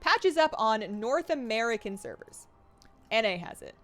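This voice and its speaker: A young woman streaming a game live, chatting excitedly and reading her chat aloud. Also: on the high side, normal speed, soft background music.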